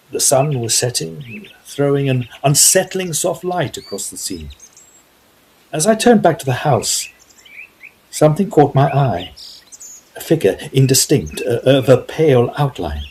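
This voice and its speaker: A man playing an earnest gentleman ghost hunter who narrates a period Gothic audio drama in formal, old-fashioned phrases. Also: mid-range voice, slight background noise, close to an everyday voice.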